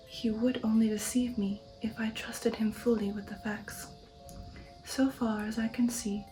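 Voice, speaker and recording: A woman speaking in a soft, breathy ASMR whisper, hushed and measured, as the host of a reading channel. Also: on the high side, poor audio.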